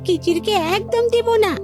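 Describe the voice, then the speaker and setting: A woman voicing a childish, insistent little bird chick in a children's cartoon, excitable and possessive. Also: very high voice, slow pace, music in the background. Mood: gleeful.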